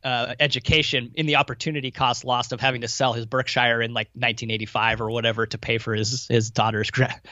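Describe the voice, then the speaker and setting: A man's voice: medium pitch, level tone, fast, few pauses, pushed and bright, no room sound, lo-fi sound. An American man answering interview questions in a casual, informal, anecdotal way, with some self-deprecation. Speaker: podcast guest, value investor running a fund.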